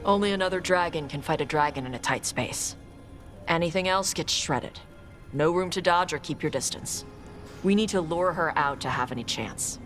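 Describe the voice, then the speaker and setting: A woman's voice: sits low, moderate pace, close to an everyday voice. Confident fantasy game dragon hunter played by a woman, practical, matter-of-fact, faint music behind. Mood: focused. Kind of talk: video game voice acting.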